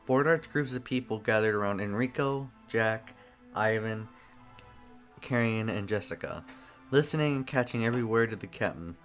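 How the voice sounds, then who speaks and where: A man narrating a fan-made action story in an even reading voice. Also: on the low side, moderate pace, faint music behind.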